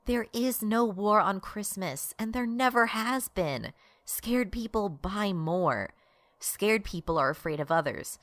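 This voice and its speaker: A woman's voice: mid-range voice, expressive, unhurried, fairly bright tone, no echo. A woman acting as an exasperated, righteous progressive activist in a satirical radio drama.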